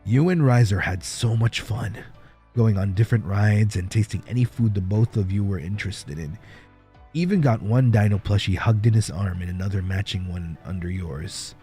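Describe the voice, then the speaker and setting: A man livestreaming in a playful, casual way, chatting and reading stories aloud with a husky voice. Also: fairly low pitch, moderate pace, dark tone. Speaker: livestreamer, virtual streamer. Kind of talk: casual livestream chat and fan fiction reading. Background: background music.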